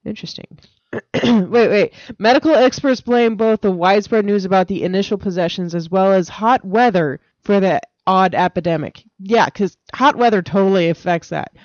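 A woman's voice: medium pitch, normal speed, a little mellow, dry recording, muffled recording. A woman co-hosting a casual podcast, matter-of-fact and questioning as she reads and comments. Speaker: podcast co-host. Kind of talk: casual banter and reading odd news items.

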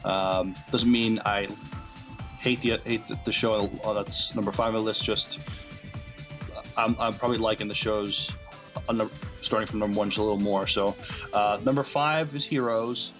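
A man with a fairly low voice co-hosts a casual podcast. His delivery is halting and hesitant, full of pauses, with music clearly audible behind him. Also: fairly even intonation. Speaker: podcast co-host. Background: loud music bed.

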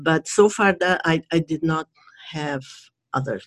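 A man in his sixties from Transylvania, a native Hungarian speaker with a Hungarian accent, a retired professor who speaks thoughtfully in an interview and pauses often with fillers. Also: fairly high pitch, a bit bright. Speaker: retired professor and ESL tutor. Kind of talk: reflective interview conversation.

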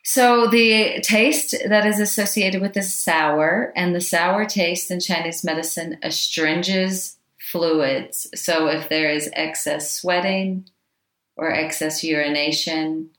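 A woman teaching Chinese medicine in a loud, chatty way, full of asides. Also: fairly low pitch, steady tone, slow pace. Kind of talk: informal teaching talk. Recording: echoey room.

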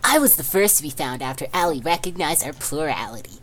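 Low voiced woman, joking around with friends and reading stuff out. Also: animated, fast talker. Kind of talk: casual joking banter.

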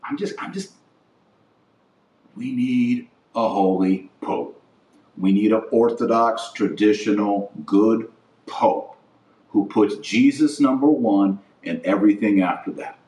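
A man with a low voice speaks as the host of a religious podcast. He is blunt and emphatic, hammering his points through repetition in an impassioned monologue. The sound is roomy and echoing, as if he sits back from the microphone. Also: slow, a little mellow. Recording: roomy echo, distant from mic.

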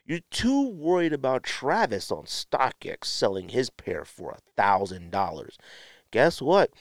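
A man's voice: mid-range voice, big pitch swings, a little slow, vocal fry, dry recording, studio quality. A man hosting a solo podcast, talking in a blunt, exasperated way with emphatic rhetorical questions, like an opinionated rant. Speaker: podcast host.

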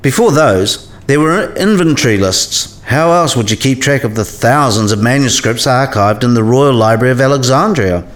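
A man's voice: medium pitch, lively intonation, normal speed, few pauses, lightly acted. A man playing a learned, teasing university colleague in a radio play, speaking in a mock-formal, lecturing way. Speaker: academic character in a radio play. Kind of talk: scripted radio play dialogue.

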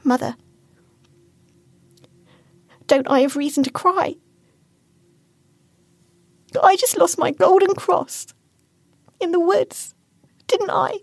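A woman voicing a frightened, defiant young peasant girl who wails through her tears in a high voice. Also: takes it slowly, gravelly, pauses often, no room sound, full character voice. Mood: tearful. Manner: shrill, tearful, wailing.

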